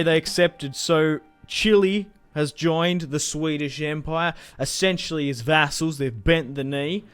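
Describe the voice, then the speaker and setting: A man's voice: a little high, fairly bright tone, smooth. A young man casually commentating a strategy game he plays, chatty and rambling, swearing now and then. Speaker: gaming YouTuber. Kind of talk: casual let's-play commentary.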